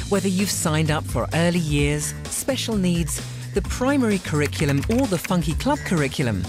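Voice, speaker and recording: A woman narrating a scripted voice-over in a clear, lively, informative way. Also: deep voice. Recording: music bed behind voice.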